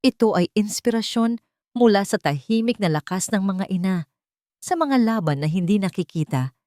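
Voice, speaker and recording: A woman's voice: medium pitch, lively intonation, moderate pace, mellow, dry recording, clean studio sound. A woman reading scripted dramatic story narration in an earnest, sentimental manner. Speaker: narrator and host of a storytelling channel.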